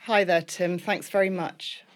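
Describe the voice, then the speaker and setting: A woman in her fifties with a clear Liverpool accent, talking through her life story as an interview guest. She is chatty and laughs often, with plenty of ums and restarts as she goes. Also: on the low side, fairly even intonation, unhurried. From Liverpool, England.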